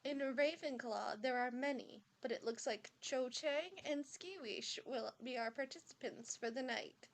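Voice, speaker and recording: A woman's voice: sits high, unhurried, hardly any pauses. A woman playing a stern but kindly older witch professor, formally addressing pupils in an amateur audio drama. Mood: ceremonial.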